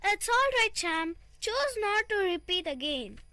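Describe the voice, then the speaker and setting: A woman's voice: very high voice, sing-song, bright, forceful tone, dry recording, pitched above the performer's own voice, exaggerated voice. A woman voicing a polite but bossy male chipmunk who corrects his friend's manners in a storybook reading. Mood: scolding. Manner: scolding about manners, drawn-out.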